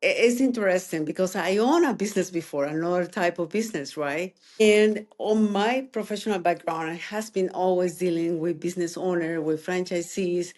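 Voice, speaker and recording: Colombian-accented businesswoman, lively guest. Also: on the low side, moderate pace, few pauses. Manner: energetic, talks in bursts with non-native phrasing. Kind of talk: interview answers.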